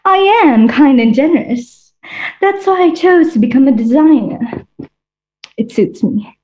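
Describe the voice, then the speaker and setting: A woman's voice: high-pitched, animated, measured pace, a little mellow. A woman playing a vain, flamboyant female fashion-designer villain, with a theatrical, mocking drawl full of "darlings". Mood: grandly haughty. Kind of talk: stage monologue in character. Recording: dull lo-fi recording.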